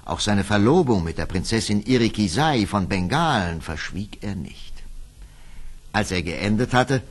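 A man's voice: fairly low pitch, expressive, a little slow. A man narrating a scripted fairy tale as the storyteller of an audio play.

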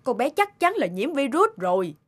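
A woman's voice: sits high, sing-song, normal speed. Fretful, scolding cartoon mother. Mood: alarmed. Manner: fretful, nagging, blunt. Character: anxious, scolding middle-aged mother of a groom. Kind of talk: cartoon dubbing.

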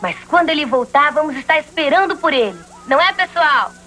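A woman's voice: high-pitched, lively intonation, fairly quick, pushed and bright. A woman voicing a sweet little child in a dubbed cartoon, earnest and pleading. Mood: supportive. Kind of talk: dubbed cartoon drama acting.

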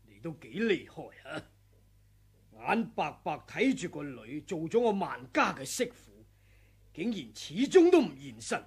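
A harsh, gravelly villain of the sword world in an old radio play. his voice is very raspy and swings widely in pitch as he taunts. he sits rather high for a man. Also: big pitch swings, measured pace, full character voice. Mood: menacing. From Hong Kong. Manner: menacing, taunting, bursts into shouting. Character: ruthless male senior swordsman, gloating and cruel. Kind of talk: 1980s radio drama acting.

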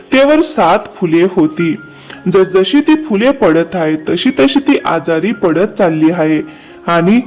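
Male actor as concerned cartoon crow friend, high-pitched for a man, sincere and caring. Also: darkish tone, low-quality recording. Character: worried male crow, loyal neighbour and friend. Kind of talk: children's cartoon story dialogue.

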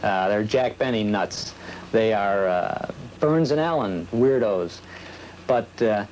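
Halting man on noisy old videotape. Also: on the low side, darkish tone. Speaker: old radio program collector being interviewed. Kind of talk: casual interview talk. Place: small office, indoors.

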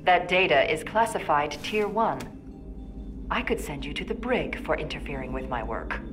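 A woman's voice: low-pitched, expressive, moderate pace, over music. A woman playing a haughty, commanding middle-aged scientist in a video game, speaking in a clipped, formal way. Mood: stern. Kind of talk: video game cutscene acting.

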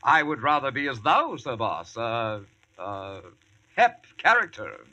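A man's voice: medium pitch, very animated, unhurried, bright, forceful tone, highs cut off, heavily acted. A young man playing an eager, deferential medieval page with earnest, archaic courtly speech in a radio drama. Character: eager, deferential high-born youth serving as medieval page. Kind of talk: 1940s radio acting.

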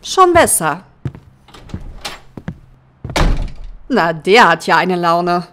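A woman's voice: lowish voice, sing-song, measured pace, noisy background. A woman playing a dry-humored, teasing small-town deputy with an ironic manner in an audio drama. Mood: mock serious.

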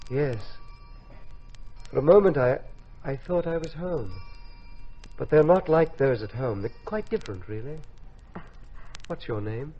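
An actor playing a weak, wistful army captain, courteous and halting, in 1950s radio drama. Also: male voice, on the high side, lively intonation, dark tone. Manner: halting, apologetic. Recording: muffled, noisy old 1950s radio recording.

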